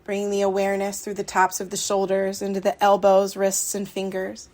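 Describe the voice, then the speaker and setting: A woman leads a guided yoga meditation in a mid-pitched voice that stays very level, with little melodic movement. She is soothing and unhurried, setting out short phrases and leaving long pauses between them. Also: completely flat delivery, darkish tone.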